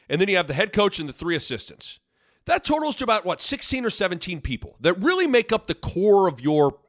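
A man's voice: fairly high pitch, fairly bright tone, dry recording, studio quality. A young man hosting a podcast in casual sports talk, congested from a sinus infection, rambling and correcting himself as he goes. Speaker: podcast host.

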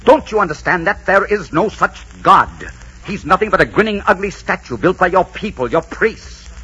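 Conquistador hero: very husky, swooping delivery, headstrong and impassioned, dull old radio recording. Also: male voice, sits high, animated, moderate pace, pushed and bright, exaggerated voice. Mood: indignant, urgent. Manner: melodramatic, impassioned, emphatic. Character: passionate Spanish conquistador, headstrong romantic hero. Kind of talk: 1940s radio drama acting.

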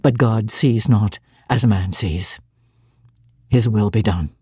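A woman voicing a stern, cold male clergyman who speaks in a low, measured and severe way. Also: dark tone, slightly rough, dry recording, clean studio sound, heavily acted. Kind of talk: dramatic reading of a classic novel.

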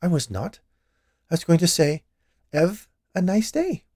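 Man voicing a chirpy high-pitched plump woman confectioner. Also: sing-song, a little slow, mellow, no room sound, studio quality. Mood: chirpy, curious. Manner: chirpy, gushing, inquisitive. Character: plump cheerful chatty woman confectioner, nosy and sweet. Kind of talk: audiobook character voice.

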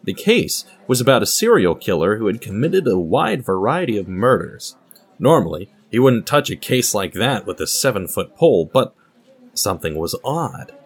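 A man's voice: lowish voice, husky. A man narrating a scripted dramatic story, reading as a storyteller. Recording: music under the voice.

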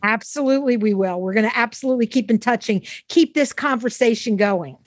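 A woman's voice: a little high, a little fast, no echo, clean studio sound. A middle-aged or older woman hosting a conversational interview in an encouraging, affirming way. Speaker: podcast host.

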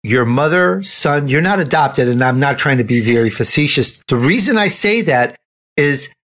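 Male interviewer, animated pitch swings, informal and playfully teasing with guests. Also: moderate pace. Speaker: interview host. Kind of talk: casual interview chat.

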